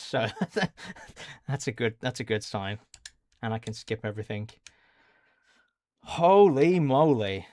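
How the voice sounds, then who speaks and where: A man's voice: medium pitch, no echo. A man livestreaming a video game, chatting casually in an apologetic, muttering, sweary way. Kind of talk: casual live stream chat. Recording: music behind the voice. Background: game music, keyboard typing.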